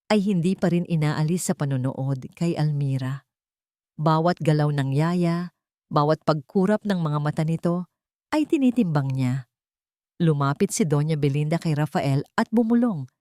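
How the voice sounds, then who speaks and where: A woman's voice: low voice, mellow, no room sound, clean studio sound. A woman with a Filipino accent reading scripted, dramatic story narration.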